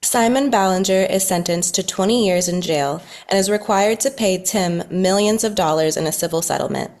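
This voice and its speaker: Slightly low-voiced woman narrator. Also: a little mellow. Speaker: narrator reading stage directions. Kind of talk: scripted narration at a table read.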